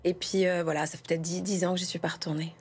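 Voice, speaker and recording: A woman's voice: lowish voice, flat delivery, fast, breathy edge. A middle-aged woman from the Lyon region of France answers as an interview guest, speaking enthusiastically and repeating words for emphasis. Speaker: interview guest, film director.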